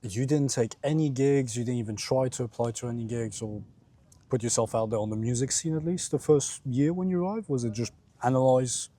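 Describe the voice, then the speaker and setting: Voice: mid-range voice, a little fast, warm tone. A podcast host asking questions in a casual interview, joking and teasing the guest and laughing a lot.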